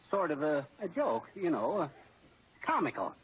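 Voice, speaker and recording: An actor playing a meek, chuckling office clerk and husband in a hesitant, flustered 1940s radio comedy manner. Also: male voice, on the high side, big pitch swings, unhurried. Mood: nervous, chuckling. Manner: flustered, hesitant, chuckles at his own jokes. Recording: tinny, faintly noisy old radio recording.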